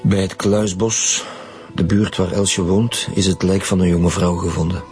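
A man's voice: low-pitched, level tone, unhurried, a little mellow, faint music behind. A man playing a stern, probing Flemish police detective in a naturalistic radio drama, speaking formally. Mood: probing, measured.

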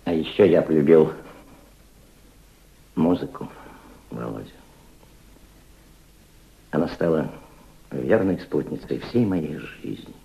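A man's voice: a bass voice, animated, slow, vocal fry, pauses often, exaggerated voice. An actor playing a proud, cultured middle-aged aristocrat turned revolutionary diplomat, reflective, oratorical and fatherly, in a radio drama. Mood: reminiscing. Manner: reflective, oratorical, fatherly toward a young listener. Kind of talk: Soviet radio drama acting. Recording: dull, roomy old radio play recording.

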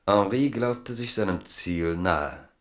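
Narrator: male, very low register, scripted literary storytelling for radio drama. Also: slow pace.

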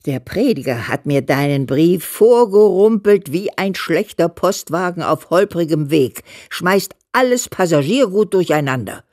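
A woman's voice: lowish voice, animated, a little slow, hardly any pauses, darkish tone, no room sound, studio quality. An actress playing a plain-spoken elderly mother who reads her fond, scolding letters in a radio play, earthy and emphatic. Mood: grumbling, fond. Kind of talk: dramatised letter reading in a German radio play.

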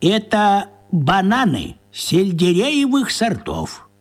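An actor playing a folksy old village carter who talks in rustic dialect, for a radio play. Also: male voice, high-pitched, wildly swooping pitch, slow, exaggerated voice. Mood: grumbling, dismissive.

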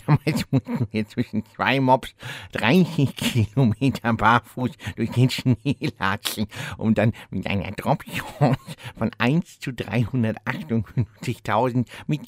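An actor playing a rambling, cackling old undead warlock who boasts about the hard old days, in a comedy audio play. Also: male voice, medium pitch, unhurried, hardly any pauses, dry recording, clean studio sound, close to an everyday voice. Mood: grumbling nostalgic.

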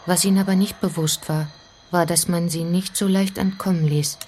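An actress as the grave narrator of a radio play mystery, telling it in a measured, suspenseful way. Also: female voice, fairly low pitch, steady tone, darkish tone, a little hiss or hum, natural voice. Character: grave female storyteller of a mystery adventure. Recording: music under the voice.

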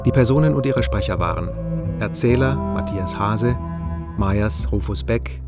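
A man's voice: lowish voice, animated, unhurried, loud music behind the voice. A man reading out the closing credits of a radio play as a scripted announcement.

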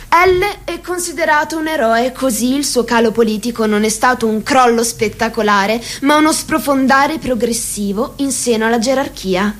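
A woman acting as a formal narrator in a radio play, reciting in a deliberate, measured way. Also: a little high, a bit bright, lightly acted. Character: female narrator reciting a party hierarchy, precise and formal. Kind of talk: theatrical radio drama narration in Italian.

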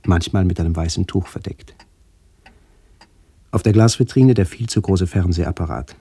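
A somber narrator of a literary radio play. His voice is deep and husky, recorded very dry and close. He describes things in a measured, solemn way, as if recalling family. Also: dark tone, no echo. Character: somber male first-person narrator remembering his aged aunts.

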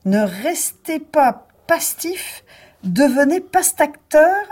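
A woman playing a stern police chief in a naturalistic audio drama, husky-voiced, dry, curt and authoritative. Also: sits high, big pitch swings, slow, darkish tone, full character voice. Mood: slow reading aloud.

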